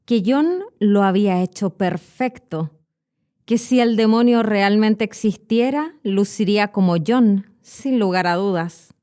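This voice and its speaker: A woman with a Chilean Spanish accent, a podcast host and Spanish teacher, narrating a horror story in a clear, measured way. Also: mid-range voice, dark tone.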